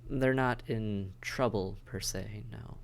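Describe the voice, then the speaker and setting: A man's voice: on the low side, slow pace, gravelly. A man acting a friendly, dry-witted vampire caseworker in a conversational audio roleplay, wry, understated and matter-of-fact. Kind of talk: conversational audio roleplay, one side of a dialogue.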